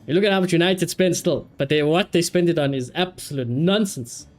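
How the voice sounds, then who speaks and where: Sweary male game streamer, slightly high voice. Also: fairly quick. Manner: sweary, opinionated, repeats phrases. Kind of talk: casual live gaming commentary and chat. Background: game music.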